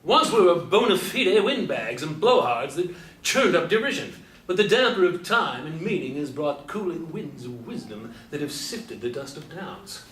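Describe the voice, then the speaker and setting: An actor playing an aging, wordy Beat poet in a stage play, brooding and declamatory. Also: male voice, on the high side, big pitch swings, moderate pace, a bit bright. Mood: musing, wistful. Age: older. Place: live stage performance recorded from a distance. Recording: roomy, distant mic, faint background noise.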